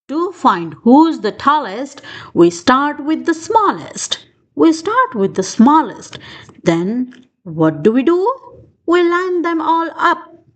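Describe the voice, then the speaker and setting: Slow, high, animated woman reading a storybook. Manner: expressive, sing-song rhyming delivery. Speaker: children's book reader. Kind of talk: storybook read-aloud for children.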